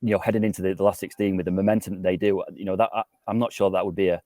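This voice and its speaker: A man's voice: low-pitched, steady tone, rapid-fire, dark tone, no room sound, studio quality. A man giving conversational football analysis as a pundit, repeating words as he builds his argument.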